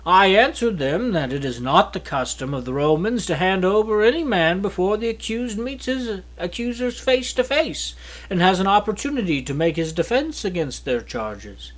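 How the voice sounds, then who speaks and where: Male reader, thirties, notably high voice, solemn and declamatory scripture delivery. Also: expressive, normal speed. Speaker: Bible reader. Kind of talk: solemn scripture reading aloud. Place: small indoor study with bookshelf. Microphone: microphone on a stand at a lectern.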